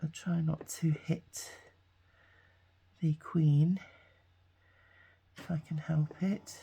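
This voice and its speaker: A woman, hoarse with a cold and gruff-voiced, hosting a casual crafting video and talking hesitantly with many ums while she works. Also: low-pitched, steady tone, very slow, mellow. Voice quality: gruff, gravelly. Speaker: craft channel host.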